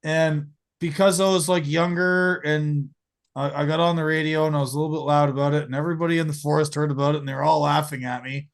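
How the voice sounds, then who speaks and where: An American man in his forties hosting a podcast, telling stories in a casual, animated way, swearing freely and stumbling over repeated words. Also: on the high side, fast talker. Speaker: podcast host. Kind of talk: casual storytelling chat.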